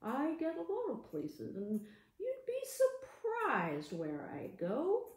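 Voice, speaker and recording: A woman voicing a calm, unruffled tortoise in a storybook fable, measured and quietly confident. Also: high voice, roomy sound, pitched above the performer's own voice, exaggerated voice. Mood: calm confidence.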